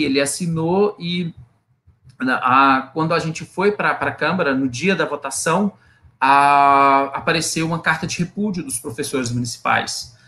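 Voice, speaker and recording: A man's voice: a little high, pushed and bright. A man speaking as an invited guest on a live online panel, explaining at length with frequent restarts and hesitations. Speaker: guest researcher.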